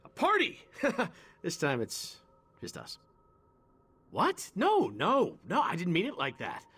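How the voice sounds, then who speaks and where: An actor playing a chatty, earnest outsider adventurer in a video game, candid and rambling. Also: male voice, high voice, wildly swooping pitch, slow pace, a bit bright, breathy edge, exaggerated voice. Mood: appreciative, wistful, then flustered. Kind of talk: video game character dialogue.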